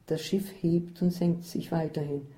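A woman narrating a first-person story in a measured, descriptive way. Her voice is fairly low and very mellow and dark. She reads the text aloud with care. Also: fairly even intonation, rough recording.

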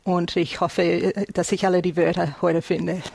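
A woman's voice: lowish voice, flat delivery, moderate pace, creaky, darkish tone, slightly rough. An Australian woman in her thirties, an author and police officer, interviewed in German, searching for words with many fillers and restarts. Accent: Australian English. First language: English. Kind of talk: interview guest.

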